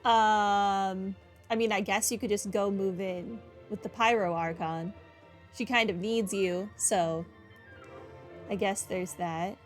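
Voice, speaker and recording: A woman's voice: medium pitch, fairly even intonation, takes it slowly. A woman giving casual, offhand commentary as she plays through a video game. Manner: wry, offhand. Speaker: gamer doing a playthrough. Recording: game music behind the voice.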